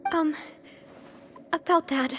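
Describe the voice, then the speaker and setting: A woman's voice: high voice, steady tone, takes it slowly. An actress playing a poised young female gang leader, gracious and sincere, in an English video game dub. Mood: sorrowful. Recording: reverberant, with faint music underneath.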